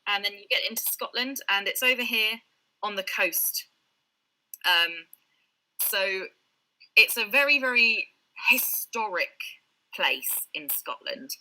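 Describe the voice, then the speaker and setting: A British woman tutoring over a video call, patiently explaining with lots of ums and small laughs. Her voice is very bright and clear-toned at a middling pitch. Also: animated, slow, pauses often, rough recording. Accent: British English. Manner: friendly explaining with frequent ums and light laughs. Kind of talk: live online Q&A.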